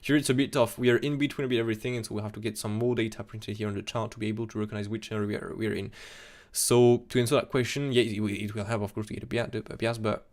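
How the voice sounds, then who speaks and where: A young man with a French accent, talking casually on a live stream about trading charts, excitable and full of restarts, recorded at a desk mic in a small room. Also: fairly low pitch, quick pace, hardly any pauses. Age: young adult. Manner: excitable, repeats "absolutely insane", many restarts. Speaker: crypto chart analyst streamer.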